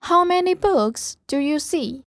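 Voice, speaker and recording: High voiced lady reading test items real slow n careful, no room sound. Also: animated, no room sound, studio quality. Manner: careful, deliberate enunciation. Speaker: listening-test reader. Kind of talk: scripted language-test reading.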